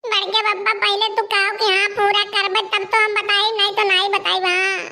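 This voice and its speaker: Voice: very high-pitched, little pitch movement, normal speed, sharp tone, exaggerated voice. A performer voicing a cheeky, scheming village boy in a comic cartoon, whining and insistent, with an Awadhi accent. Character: cheeky scheming village lad, lovestruck, blackmailing his uncle.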